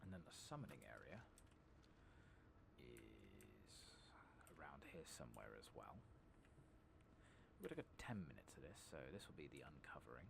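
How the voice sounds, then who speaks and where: A man doing soft-spoken ASMR game commentary, murmuring casually to himself, swearing mildly and slipping in and out of whispers. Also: a bass voice, very animated, moderate pace, pauses often. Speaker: gaming streamer. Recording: clearly noisy background with clicking.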